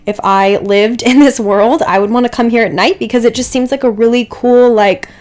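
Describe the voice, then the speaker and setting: A woman's voice: a little high, moderate pace, a little mellow. A young woman getting over a cold, her voice a little stuffy and dry, chatting casually over a game build with many asides and "like"s. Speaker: Sims build creator.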